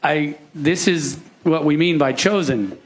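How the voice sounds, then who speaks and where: A man, speaking at a press conference and pausing often with fillers. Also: fairly high pitch. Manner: halting, with many fillers. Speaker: club owner at a press conference.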